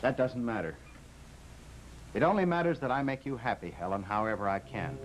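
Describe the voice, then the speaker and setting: Actor as a desperate, earnest, downtrodden husband. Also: male voice, medium pitch, animated, fairly quick, natural voice. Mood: sad, resolved. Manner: earnest, pleading. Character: desperate, devoted, downtrodden husband and failing bookseller. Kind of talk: old-time radio drama acting. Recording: noisy vintage radio recording.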